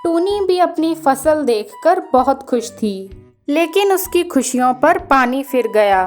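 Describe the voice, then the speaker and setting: A woman's voice: high-pitched, lively intonation, slow, darkish tone, faint music behind. A woman narrating a scripted children's story.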